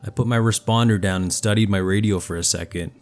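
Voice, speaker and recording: Low-pitched man narrating horror over music. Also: steady tone, fast. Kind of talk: scripted first-person horror narration.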